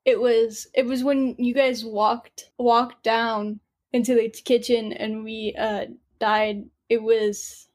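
Chatty young woman, bright high voice. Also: flat delivery, slow. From Southern Illinois. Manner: chatty, laughs often, acts out quoted voices. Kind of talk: casual chat.

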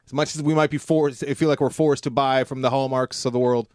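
Brief: a wisecracking man co-host who talks fast and sits mid-range in pitch, on a dry mic. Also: fairly even intonation, no echo. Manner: joking, wisecracking. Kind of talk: casual radio talk.

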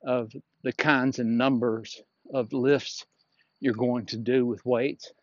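A man's voice: mid-range voice, dry recording, dull audio. A man talking casually on a solo podcast as a fitness instructor, explaining things in a plainspoken, frank manner.

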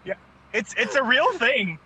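A man in a casual podcast chat, delivering jokes in a deadpan way. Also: extremely high pitch, measured pace, a crowd in the background, low-quality recording.

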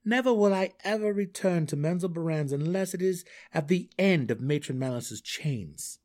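A man voicing a resolute, brooding male dark elf exile in a fantasy audiobook, speaking earnestly and formally with defiance. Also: on the high side, expressive, normal speed, pitched above the performer's own voice, close to an everyday voice. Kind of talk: fantasy audiobook character acting.

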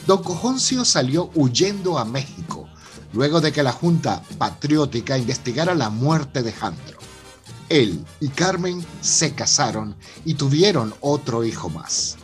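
A man's voice: medium pitch, very animated, a little slow, faint music behind. A man with a Venezuelan accent narrating a melodramatic radio soap in a theatrical storytelling manner. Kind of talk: scripted radio drama narration.

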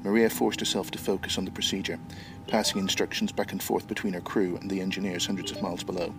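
A man's voice: sits low, flat delivery, quick pace, music in the background. A middle-aged man from Northern Ireland, speaking as a writer and podcast guest. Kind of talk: interview answers and reading own short story.

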